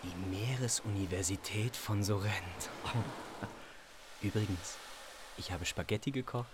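An actor playing a jovial, playful philosopher friend in an audio drama, genial and teasing. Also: male voice, fairly low pitch, takes it slowly. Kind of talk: German audio drama acting. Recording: noisy background under the voice.

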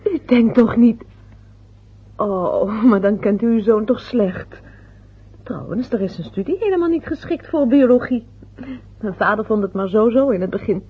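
A breathy, polite young fiancée. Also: female voice, a little high, animated, moderate pace, phone-quality sound. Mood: earnest. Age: young adult. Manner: polite, laughs nervously. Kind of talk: radio play acting.

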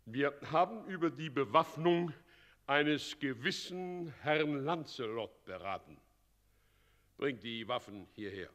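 A fawning, addled old mayor in a radio play, ceremonious and pompous. The voice sits high and piercing, with slow, drawn-out delivery, ringing through a long hall reverb. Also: male voice, animated, a bit bright, a little hiss or hum. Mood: fawning, muttering. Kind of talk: German radio play acting.

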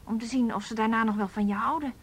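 A woman's voice: fairly even intonation, fast, slightly rough. An actress playing a broke, cheeky and wistful young woman in a naturalistic radio drama. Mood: defensive, musing. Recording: noisy radio play recording with rumble.

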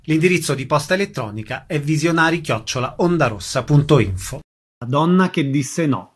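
A man's voice: on the high side, lively intonation, moderate pace, breathy edge. A man reading a scripted programme introduction as a radio announcer.

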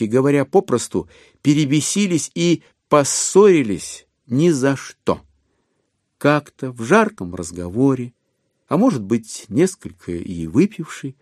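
A man narrating a literary audio play in a wry, expressive storytelling manner. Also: medium pitch, dry recording, clean studio sound.

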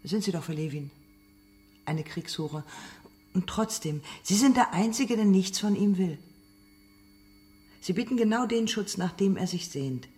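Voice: mid-range voice, animated, measured pace, long pauses, darkish tone, natural voice. An actor voicing an interrogator in a naturalistic radio drama, questioning in a pointed, insinuating way. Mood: insinuating, pressing. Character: probing, relentless interrogator questioning a witness.

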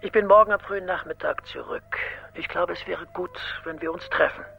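Muffled, creaky, hushed woman caller. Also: on the low side, steady tone, brisk. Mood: guarded, quiet. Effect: telephone filter. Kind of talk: naturalistic radio play acting. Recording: muffled phone filter, music behind.

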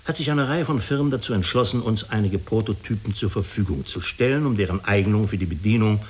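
A man playing a diplomatic, persuasive agency official in a German radio drama, speaking formally and deliberately with small hesitant pauses. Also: lowish voice, natural voice. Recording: clearly hissy radio recording.

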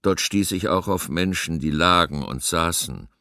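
An actor playing a somber survivor giving deliberate testimony about a starving city in a documentary radio play. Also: male voice, sits low, creaky, bright, forceful tone, no echo, clean studio sound, heavily acted.

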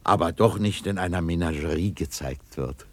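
An actor playing a reformed old miser in a 1960s radio drama, blustery and commanding, bursting with joy. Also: male voice, low-pitched, moderate pace, over a noisy crowd, natural voice. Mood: playful. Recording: noisy 1960s radio play recording.

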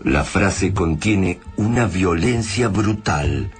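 Radio drama narrator: male, Argentine accent, low register, scripted delivery over a music bed. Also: lively intonation, slow. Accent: Argentine, Rioplatense.

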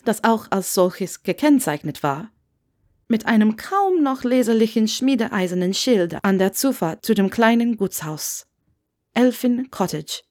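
A woman's voice: medium pitch, darkish tone. A woman reading a scripted story aloud as an audiobook narrator.

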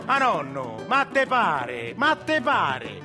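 Guy doing a worked up roman dad barking orders, highish voice, music behind. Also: huge pitch swings, takes it slowly, exaggerated voice. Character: excitable middle-aged Roman father, scheming and hot-tempered.

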